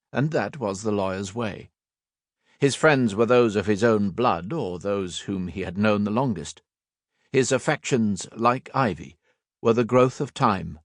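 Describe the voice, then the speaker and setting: Expressive male audiobook narrator, dry studio. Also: medium pitch, no room sound. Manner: measured, expressive storytelling delivery. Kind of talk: scripted narration of a classic novel. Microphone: close studio mic.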